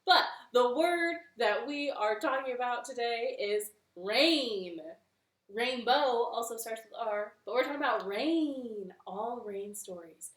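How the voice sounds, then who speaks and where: A woman's voice: fairly high pitch, takes it slowly, a bit bright, reverberant. A woman hosting a library storytime, reading to children in a cheerful, sing-song way from her living room.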